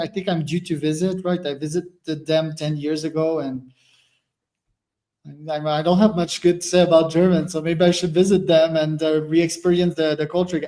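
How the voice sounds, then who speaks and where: A man's voice: a little high, warm tone. A young man hosting a podcast, chatting casually in a blunt, opinionated way with many fillers. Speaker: podcast host. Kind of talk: casual conversation.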